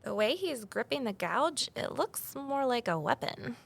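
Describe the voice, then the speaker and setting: A woman's voice: on the high side, big pitch swings, measured pace, creaky, fairly bright tone, full character voice. An actress playing a curious, watchful amateur investigator, telling her mystery in the first person and talking with others. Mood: casual, guarded. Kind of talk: audio drama narration and dialogue.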